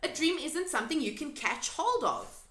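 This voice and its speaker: Woman playing a high-pitched, curious little girl. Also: big pitch swings, moderate pace, a bit bright, reverberant, slight background noise. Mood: insistent, pleading. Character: curious, plucky little girl, polite but insistent. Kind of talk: storybook character reading.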